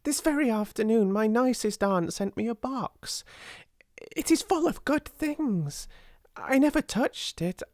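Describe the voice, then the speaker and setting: A woman voicing a timid, slow, kind-hearted young girl who speaks in a halting, awe-struck way. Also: medium pitch, lively intonation, darkish tone, no echo, clean studio sound, voice pushed up. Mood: excited.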